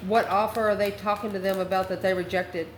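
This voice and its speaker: Woman, online tarot reader, off-the-cuff, folksy and sassy, medium pitch, reverberant room. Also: level tone, fairly quick. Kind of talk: improvised spoken tarot reading. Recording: roomy echo with faint background noise.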